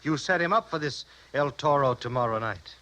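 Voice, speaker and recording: An actor playing a ruthless, threatening crime boss in 1950s American radio drama style, barking orders with menace. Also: male voice, medium pitch, expressive, normal speed, sharp tone. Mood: menacing. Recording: noisy vintage radio recording.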